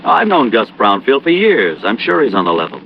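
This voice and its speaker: An American actor playing an earnest, trusting, slightly anxious insurance office manager in a 1950s radio drama. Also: male voice, lowish voice, huge pitch swings, fairly quick, gravelly, heavily acted. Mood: protesting, earnest. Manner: earnest, explanatory, conversational. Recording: dull, noisy old radio recording.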